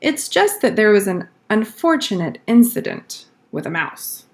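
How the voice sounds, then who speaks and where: Storybook schoolboy, read by a woman, earnest teller of tall tales, slow-paced delivery. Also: mid-range voice, big pitch swings. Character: schoolboy spinning tall-tale excuses for lateness.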